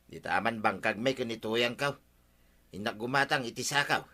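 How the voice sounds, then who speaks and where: An easygoing village corn seller, played by a man, with an Ilocano accent in a radio comedy. He talks briskly and offhandedly in a bright, edgy voice. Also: medium pitch, close to an everyday voice. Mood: offhand. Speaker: corn vendor. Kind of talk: comic radio drama acting.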